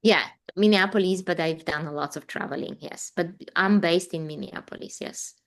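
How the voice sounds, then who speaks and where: A woman's voice: fairly low pitch, moderate pace. A woman in her forties from Poland with a Polish accent, chatting in an interview as a guest artist and rambling with fillers. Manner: rambling, warm, frequent fillers and laughs. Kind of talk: casual interview chat.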